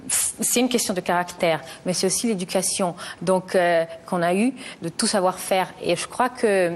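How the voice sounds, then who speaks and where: A woman's voice: fairly low pitch, normal speed. A woman in her thirties from Italy, a talk show guest who speaks earnestly and hesitantly, often restarting her sentences. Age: 30s.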